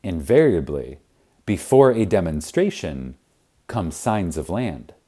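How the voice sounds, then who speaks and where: A man narrating a spiritual audiobook in a reverent, emphatic manner, with deliberate pauses. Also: low-pitched, very animated, warm tone. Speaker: audiobook reader. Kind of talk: scripted narration of a spiritual self-help audiobook.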